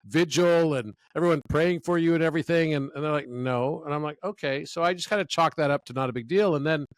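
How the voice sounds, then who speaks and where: A man's voice: on the high side, fast talker, no room sound, low-quality recording. Enthusiastic man telling stories, dry sound. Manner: enthusiastic, storytelling. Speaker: interview guest. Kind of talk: conversational interview.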